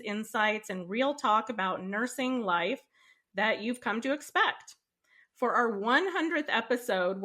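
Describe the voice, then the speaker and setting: A woman hosting a nursing podcast, chatting casually with a guest while congested from a cold. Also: fairly high pitch. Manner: animated storytelling. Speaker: podcast host.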